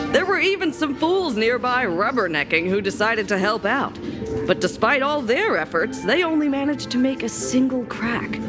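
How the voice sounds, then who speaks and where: An actress playing a sardonic, exasperated sham doctor who talks like a dramatic storyteller in a video game. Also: female voice, on the high side, natural voice. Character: wry, exasperated woman posing as a hospital doctor.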